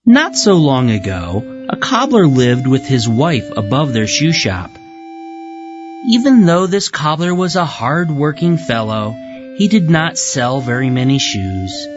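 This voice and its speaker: A narrator reading a children's story aloud in an expressive, deliberate storytelling way for young learners. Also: mid-range voice. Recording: muffled lo-fi audio with music behind. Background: music.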